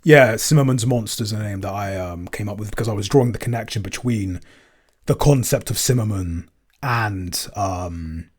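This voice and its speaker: A man chatting casually as a podcast writer in a Q&A, speaking in a halting, hesitant way with stammered word starts. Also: on the low side, husky. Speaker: podcast writer and co-creator answering listener questions. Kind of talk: casual Q&A chat.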